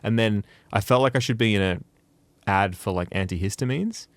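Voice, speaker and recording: Gravelly-voiced man, chatty. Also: lowish voice, moderate pace, no echo. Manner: chatty, animated storytelling with self-mocking asides. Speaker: podcast co-host, musician. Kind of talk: casual chat.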